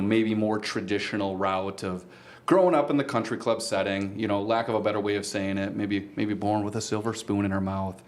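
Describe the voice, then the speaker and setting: A man with a fairly low voice hosts a podcast conversation. He talks in long, measured phrases and pauses deliberately as he goes. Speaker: podcast co-host and golf business co-founder.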